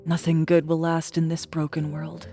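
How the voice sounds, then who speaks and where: A woman's voice: deep voice, completely flat delivery, normal speed, mellow, faint music behind. A woman playing a bitter, guarded survivor in an audiobook drama, with a blunt, wary, world-weary delivery.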